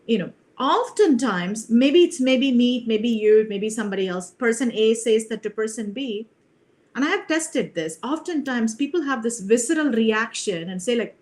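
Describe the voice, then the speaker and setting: A woman's voice: fairly high pitch, expressive, moderate pace, a little mellow. A middle-aged woman from India, a leadership coach speaking earnestly on a video call, often adding "you know" and "right?". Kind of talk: reflective interview talk.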